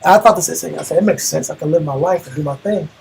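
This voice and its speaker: A man with an American accent and a husky voice, teaching religion in a colloquial, emphatic way, repeating phrases for emphasis. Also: a little high, very fast. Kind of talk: informal sermon discussion.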